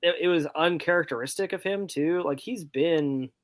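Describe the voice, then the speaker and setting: A man's voice: fairly high pitch, moderate pace, no echo, studio quality. A man chatting casually about sports as a podcast panelist, tentative and leaning on "like".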